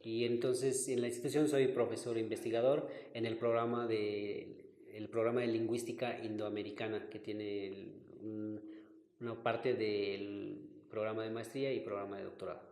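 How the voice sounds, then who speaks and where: A man in his forties whose first language is Q'anjob'al, a linguistics professor calmly recalling his path in an interview. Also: lowish voice. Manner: thoughtful, unhurried, searching for words. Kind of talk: interview answers, personal recollection. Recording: roomy recording with long reverb.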